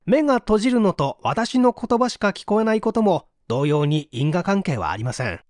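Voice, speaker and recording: A man reading a book aloud as a narrator, in a measured, explanatory manner. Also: sits high, very animated, no echo, clean studio sound. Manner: measured, explanatory read-aloud with acted example lines. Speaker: audiobook narrator.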